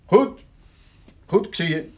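Bossy religious farmer dad, radio play, gruff n curt, voice jumps up and down. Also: male voice, high voice, animated, takes it slowly, darkish tone. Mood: businesslike. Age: middle-aged. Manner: curt and domineering. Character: pious, gruff, stubborn farmer father nursing a grudge. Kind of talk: radio drama acting.

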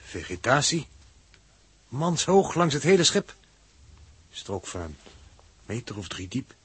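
A sceptical, exacting space crewman from an old radio serial. He sounds very husky, with lively pitch. His phrasing is formal, clipped and questioning. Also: takes it slowly, dry recording, exaggerated voice. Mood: matter-of-fact. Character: sceptical, precise, matter-of-fact male spaceship crewman. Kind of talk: 1960s Dutch radio drama acting.

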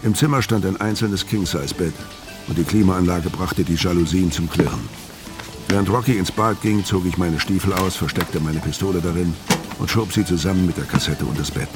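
An actor playing a middle-aged, gruff, world-weary debt collector who is dying, terse and guarded, in a noir crime radio drama. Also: male voice, deep voice, brisk. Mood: brooding narration. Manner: terse, hard-boiled, guarded. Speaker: narrator and lead actor. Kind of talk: noir crime radio drama, first-person narration and dialogue. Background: music behind the voice.

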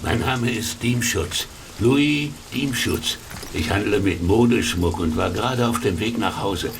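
An actor in a German radio play as a plain-spoken street trader giving witness, halting and subdued. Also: male voice, on the low side, gravelly. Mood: shaken. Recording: distant voice, rainy hissing background noise.

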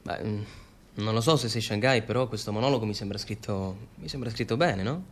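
A man's voice: fairly low pitch, a little fast, slight background noise, close to an everyday voice. An actor playing a placid, hesitant male confidant in an Italian radio drama, understated and soothing. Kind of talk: Italian radio theatre acting.

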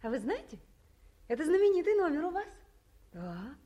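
A woman's voice: high voice, sing-song, moderate pace, dark tone. An actress playing a timid, eager nineteen-year-old girl in a 1950s radio play. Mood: shy eager. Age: young adult. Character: shy, bright young woman of nineteen.

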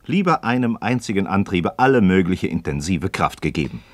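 A man's voice: sits low, big pitch swings, a little fast, no room sound. An actor playing an inquisitive, skeptical man in a radio play, speaking articulate, rhetorical in philosophical dialogue. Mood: skeptical, challenging.

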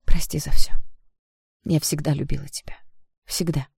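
A male narrator plays a gentle young woman with a breaking heart. The voice is husky and soft-edged, lifted a little in pitch. She pleads haltingly, self-sacrificing, in romantic storybook drama. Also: level tone, no room sound, studio quality, full character voice. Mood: tender, sorrowful. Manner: imploring, halting.

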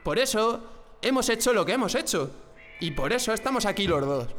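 Man acting intense anxious dissident, highish voice, long reverb. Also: big pitch swings, brisk, a bit bright, a little hiss or hum. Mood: defiant, accusing. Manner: earnest, questioning, intense. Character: defiant, anxious male dissident who hates the regime. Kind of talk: radio drama acting. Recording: long hall reverb, faint music behind.

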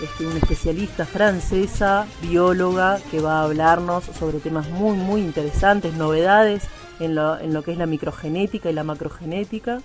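A woman's voice: on the low side, moderate pace, few pauses, soft background music, lightly acted. A woman playing a courteous, eager radio host with an Argentine accent in a satirical radio play. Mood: upbeat presenting. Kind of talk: satirical radio theatre interview.

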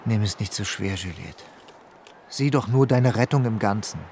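An actor playing a persuasive lover who talks low, coaxing and insistent, in a noisy old radio play recording. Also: male voice, moderate pace, darkish tone, natural voice. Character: smooth persuasive male lover, urging escape. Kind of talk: radio drama acting.

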